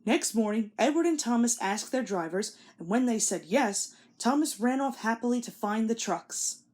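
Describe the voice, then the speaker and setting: Woman, children's read-along narrator, fairly high voice, animated intonation, scripted storytelling. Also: normal speed.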